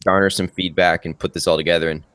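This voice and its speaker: A man co-hosting a podcast, speaking in a casual, quick, sarcastic way. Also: deep voice, flat delivery, fairly bright tone, clean tone, dry recording. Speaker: podcast co-host.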